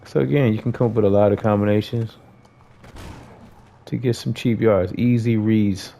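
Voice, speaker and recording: A man's voice: on the low side, steady tone, moderate pace, vocal fry, dark tone. An American man giving a casual, conversational gameplay tutorial, talking informally to viewers as a host.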